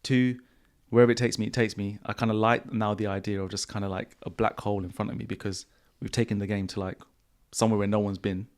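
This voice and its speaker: Croaky-voiced man, low. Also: fast. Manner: relaxed, repeats himself, slangy. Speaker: podcast host. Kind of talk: casual podcast chat answering viewer questions. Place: home studio.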